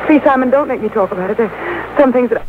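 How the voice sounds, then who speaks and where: Frightened glamorous female movie star played by an actress, hesitant, high-pitched, vintage radio drama, heavy noise. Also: fast, close to an everyday voice. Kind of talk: 1940s radio mystery drama acting. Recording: very noisy, tinny old radio tape.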